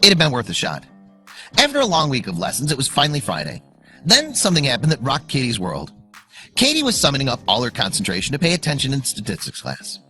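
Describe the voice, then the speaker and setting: A man narrates a scripted story for online video with suspense and emphasis. His bright, forceful voice sits at a middle pitch and moves in lively swings. Music plays softly behind him. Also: fast talker. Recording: music under the voice. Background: background music.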